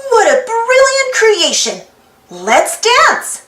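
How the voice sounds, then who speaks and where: A woman's voice: very high-pitched, wildly swooping pitch, slow pace, a bit bright, pitched above the performer's own voice, full character voice. A woman voicing an eager, polite young assistant to a mad scientist in a children's storybook, cheerfully exclaiming. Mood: delighted. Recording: roomy echo with music behind.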